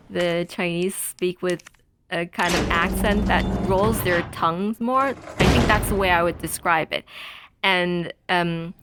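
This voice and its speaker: A woman in her twenties from Taiwan, chatting and telling stories while playing a video game, rambling with many pauses. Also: on the low side, noisy background. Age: 20s. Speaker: let's play gamer.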